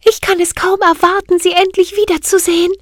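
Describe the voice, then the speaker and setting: A woman reading a storybook voices a tiny, cheerful female fairy with a bright, bell-like voice. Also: very high voice, unhurried, dry recording, clean studio sound, voice pushed up. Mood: excited. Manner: excited, later trembling.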